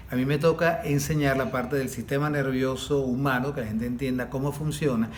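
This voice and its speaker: A man psychologist introduces himself in a steady, orderly way. His voice sits in a middle pitch, with faint noise behind him. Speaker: child psychologist. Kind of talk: podcast self-introduction.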